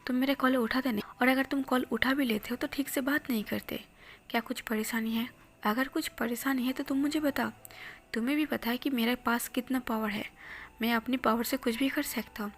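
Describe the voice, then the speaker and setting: A woman's voice: fairly high pitch, steady tone, brisk, lightly acted. A woman whispering the lines of a possessive, jealous rich young man in a storybook tale. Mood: soothing. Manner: soft whispering, pleading then menacing.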